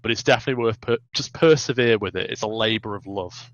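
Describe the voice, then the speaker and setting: A man's voice: on the low side, fast, a bit bright, no room sound. A man on a podcast panel talking casually and animatedly about games, on a slightly dull, close recording. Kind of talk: casual, animated game discussion.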